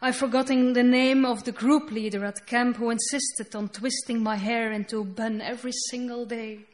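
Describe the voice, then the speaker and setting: A woman whose first language is Dutch, speaking English with a Dutch accent as she reads her poetry slowly and deliberately on a festival stage. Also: on the high side, steady tone. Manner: slow, deliberate reading with halting English asides. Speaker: poet. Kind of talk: poetry reading. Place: festival stage before an audience.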